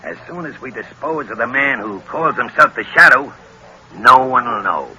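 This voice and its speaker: Menacing radio-serial crime boss, curt, swooping delivery, noisy old recording. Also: male voice, mid-range voice, expressive, moderate pace, bright, forceful tone. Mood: calm menace. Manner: curt, sneering, barking orders. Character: hot-tempered gangster boss, menacing, easily rattled. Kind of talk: old-time radio acting.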